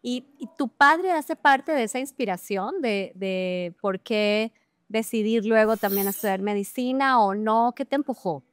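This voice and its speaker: A middle-aged woman hosts a podcast with effusive enthusiasm. Her voice sits on the higher side and sounds smooth and clean. It is recorded close and dry, with no room around it. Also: a little slow, dry recording. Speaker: podcast host. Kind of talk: interview conversation.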